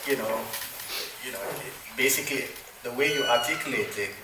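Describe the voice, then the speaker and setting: A man's voice: medium pitch, brisk. A man from Malaysia, a forum's program director, answering on a conference panel, thoughtful and full of fillers and restarts. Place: conference room. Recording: echoey room, far from mic, noisy.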